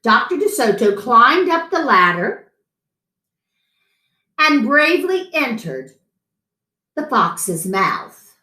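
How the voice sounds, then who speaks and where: A middle-aged woman reading a picture book aloud to children in an expressive story-time style. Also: on the high side, slow, long pauses. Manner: animated, chatting to an unseen guest between lines. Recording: roomy echo.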